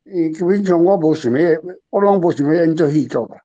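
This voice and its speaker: A man's voice: a little high, lively intonation, a little fast, warm tone, dull audio. An older man with a Taiwanese accent speaks off the cuff as a guest author, rambling in a plain, emphatic way and repeating himself. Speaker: author guest answering questions. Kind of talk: unscripted literary discussion.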